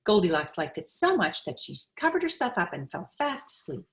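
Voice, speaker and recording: Expressive lower-voiced woman reading a storybook. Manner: expressive, deliberate storytelling with emphasis on sizes. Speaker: storybook reader. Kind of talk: read-aloud children's picture book narration.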